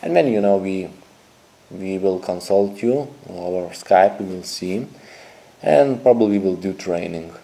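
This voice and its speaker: A young man, a dating coach making a sales pitch to camera indoors, talking in halting, repetitive, phrasing. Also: expressive, vocal fry, dark tone, rough recording. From Lithuania. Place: indoor room with a TV screen behind.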